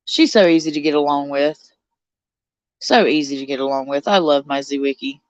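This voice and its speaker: A woman's voice: deep voice, moderate pace, no room sound, muffled recording. A woman in her forties hosting a casual live stream from a cold bedroom, chatty and a little stuffed up from her sinuses, reading out viewer comments. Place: cold bedroom under a blanket.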